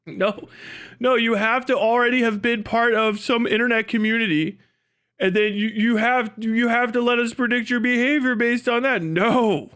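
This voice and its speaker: A man with a high, clear voice, streaming casually to his chat. His delivery is bright and forceful, with a mocking, animated manner and plenty of swearing. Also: normal speed. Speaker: live stream host. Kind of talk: casual livestream chat to viewers.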